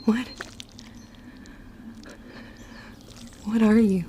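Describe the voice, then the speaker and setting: A woman's voice: medium pitch, fairly even intonation, moderate pace, heavy background noise, lightly acted. A woman playing a guarded young witch who narrates her story with formal, old-fashioned phrasing in an audio drama. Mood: defiant, alarmed. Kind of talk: scripted first-person audio drama narration.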